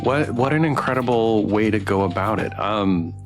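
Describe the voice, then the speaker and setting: A man hosting a casual livestream interview, asking questions in a halting, searching way. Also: fairly low pitch. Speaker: streamer and interviewer. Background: guitar music.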